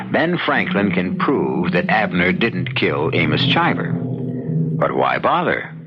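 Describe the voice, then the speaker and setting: A man hosting a radio mystery as a brooding storyteller, deliberate, philosophical and ominous. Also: low voice, background noise, full character voice. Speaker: host and narrator. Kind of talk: dramatic radio narration. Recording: dull radio recording with faint music.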